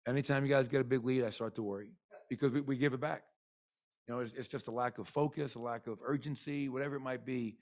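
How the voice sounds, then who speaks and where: A man's voice: mid-range voice, steady tone, fast talker. Middle-aged man, basketball coach, press conference, rambling with fillers, candid, desk mic. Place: arena press room. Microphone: desk mic in front.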